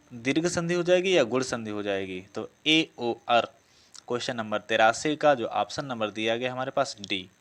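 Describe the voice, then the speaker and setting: Male teacher working through practice questions, mid-pitched, repetitive and methodical. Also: fairly bright tone, clean tone. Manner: reads each option aloud, then confirms with "bilkul"; self-correcting, repetitive phrasing. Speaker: exam coaching teacher. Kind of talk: explaining practice questions in a lesson.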